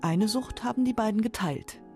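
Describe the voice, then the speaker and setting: A woman's voice: a little high, normal speed, a little mellow, soft background music. A woman narrating a scripted radio feature as its narrator.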